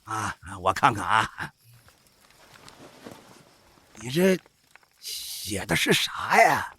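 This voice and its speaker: Raspy, drawling old troupe boss. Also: male voice, lowish voice, sing-song, very slow, sharp tone, full character voice. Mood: folksy, puzzled. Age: older. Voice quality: raspy, creaky. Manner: drawling, sighing, folksy exclamations. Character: old village opera-troupe boss, folksy, worldly, cautious. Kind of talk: audio drama acting.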